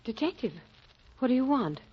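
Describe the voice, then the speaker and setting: A woman's voice: sits high, very animated, normal speed, slightly rough, full character voice. A woman playing an indignant, haughty bride-to-be, curt and dismissive in an old radio drama. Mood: wary. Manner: clipped, haughty. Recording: dull, faintly noisy vintage radio recording.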